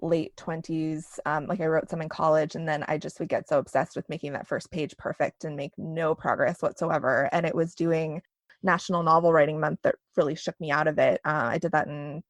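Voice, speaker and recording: Woman, 30s, lower register, candid and conversational podcast guest, dry sound. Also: fairly even intonation, fairly quick, no echo. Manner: chatty and candid, peppered with "like" and "you know". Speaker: interview guest, novelist and podcaster. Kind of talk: casual conversational interview.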